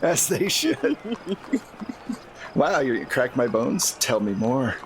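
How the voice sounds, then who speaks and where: Unhurried man running a tabletop game. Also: mid-range voice, sing-song. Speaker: game master. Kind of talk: improvised tabletop game narration and table banter. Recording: faint background noise.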